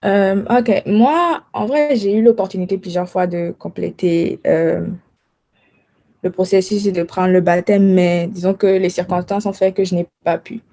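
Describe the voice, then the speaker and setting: Young woman from benin, mellow warm voice, laid back, says ok a lot. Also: medium pitch, steady tone, unhurried. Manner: casual, informal, with "genre" and "ok". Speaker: adult baptism candidate. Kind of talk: personal testimony.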